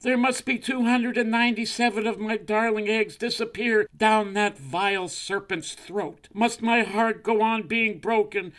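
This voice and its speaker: A shrill female crow from a storybook, played by a man, pitched very high with a sharp edge. She is an impatient, scolding mother, dramatic and indignant in all she says. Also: steady tone, measured pace, few pauses. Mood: indignant, anguished.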